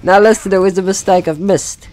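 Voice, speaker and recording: A man's voice: high voice, steady tone, a little fast, a little mellow, faint music behind. A man giving casual let's play commentary, chatty and jokey, talking to himself and the game's enemies. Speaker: gaming channel host.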